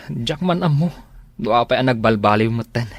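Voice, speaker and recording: An actor with a Filipino accent playing an exasperated, weary husband in a radio drama. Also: male voice, mid-range voice, animated, moderate pace, no room sound, lightly acted. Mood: weary, complaining. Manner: weary, complaining. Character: exasperated husband worn down by jealous wife.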